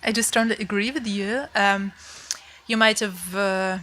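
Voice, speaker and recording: Bright-voiced woman, halting panelist. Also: medium pitch, fairly even intonation. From Ukraine. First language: Ukrainian. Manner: thoughtful, halting, many fillers. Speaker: literary translator, panelist. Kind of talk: panel discussion.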